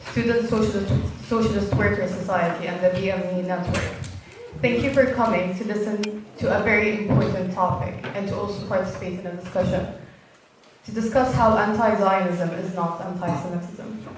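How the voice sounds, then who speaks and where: A woman's voice: mid-range voice, fairly even intonation, a little fast, noisy background. Young woman, palestinian accent, earnest prepared intro, very echoey hall, distant mic. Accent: Palestinian Arabic. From West Bank, Palestine. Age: young adult. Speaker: student event host. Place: university lecture hall.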